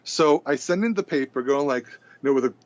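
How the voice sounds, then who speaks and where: A man's voice: mid-range voice, a little fast. Man telling a story, lo-fi. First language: Hebrew. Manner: animated storyteller, stumbles and repeats words. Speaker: podcast guest. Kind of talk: casual storytelling conversation.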